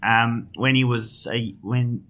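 A man with a South African accent and a bright, forceful voice, talking as an interview guest. He is middle-aged and talks in a hesitant, conversational way, full of fillers and restarts. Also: medium pitch, fairly even intonation, slow. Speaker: guest, finance journalist.

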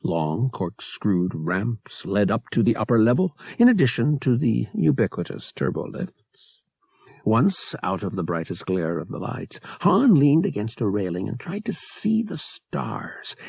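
Slow, gravelly male audiobook narrator. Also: on the low side, a little mellow, no room sound. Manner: deliberate phrasing with dramatic pauses. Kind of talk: dramatic scripted narration.

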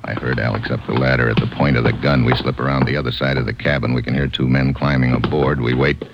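A man's voice: extremely low pitch, little pitch movement, fast, over loud music. An actor with an American accent playing a tough, wisecracking man on the run who narrates his own radio adventure in clipped slang. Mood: tense narration. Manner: clipped, slangy, hard-boiled. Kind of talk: 1950s radio adventure acting, hard-boiled narration. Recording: noisy, muffled old radio recording.

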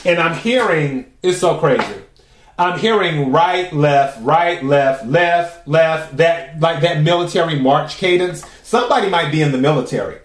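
A man with a raspy voice hosting a podcast, giving a casual, chatty tarot reading and musing aloud with drawn-out pauses. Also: a little high. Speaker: tarot reader and podcast host. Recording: roomy echo.

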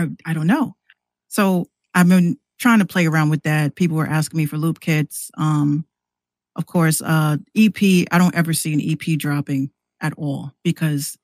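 A woman's voice: low voice, darkish tone, dry recording. A woman chatting casually as a podcast guest, telling stories with quoted asides. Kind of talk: casual chat.

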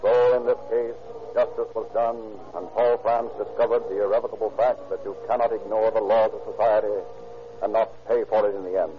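A man's voice: lowish voice, level tone, fairly quick. A man narrating and announcing in a formal, declamatory 1930s radio style. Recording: dull lo-fi 1930s radio recording with faint music.

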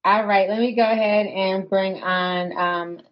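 A woman's voice: mid-range voice, steady tone, a little slow, highs cut off. A woman hosting a call-in show, greeting callers and prompting them with short replies. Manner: brief affirming responses. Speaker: talk show host.

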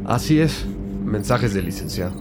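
Male tech investigator voiced by a man, low-key, helpful, informal, matter-of-fact, radio drama, background music. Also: animated, moderate pace, natural voice. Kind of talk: audio drama acting.

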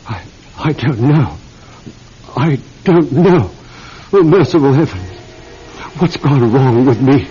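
An actor playing a bewildered, distraught man making a confession, halting and overwrought in an old-time radio drama. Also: mid-range voice, animated, warm tone, breathy edge, full character voice. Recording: dull, muffled classic radio recording.

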